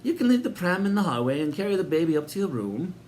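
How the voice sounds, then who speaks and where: A man voicing a sinister, snappish old woman who runs a guest house, haughty and sharp-tongued in a storybook reading. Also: high-pitched, sing-song, quick pace, a little hiss or hum, voice pushed up. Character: sinister old lady twin hotel keeper, snappish.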